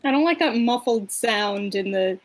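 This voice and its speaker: High-voiced woman in casual group banter. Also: animated, normal speed, dry recording. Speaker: participant directing a group dub. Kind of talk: casual banter during live comic dub.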